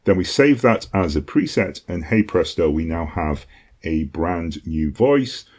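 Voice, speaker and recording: A British man with a very low, deep voice walks through a lesson in a relaxed, conversational way. He fills his pauses with ums and checks in with an "okay?" now and then. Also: breathy edge. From Britain. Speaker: online course instructor. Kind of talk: casual tutorial explanation.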